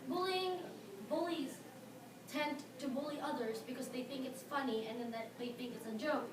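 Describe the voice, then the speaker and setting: A teenage girl from the Philippines with a Filipino accent, giving a prepared speech as a high school student, earnest and halting, with fillers and stressed key words. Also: a crowd in the background. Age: teens. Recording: echoey room, mic at a distance, noisy.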